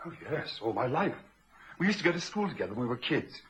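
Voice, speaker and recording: Young male voice, anxious polite suitor type, lively swooping intonation, halting, emotive, vintage radio drama. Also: medium pitch, muffled recording. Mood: earnest. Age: young adult.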